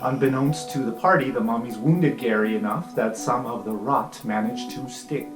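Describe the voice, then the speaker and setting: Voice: medium pitch, normal speed. Game master narrating in an echoey room. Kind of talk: improvised tabletop game narration with casual banter. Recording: echoey room with music behind.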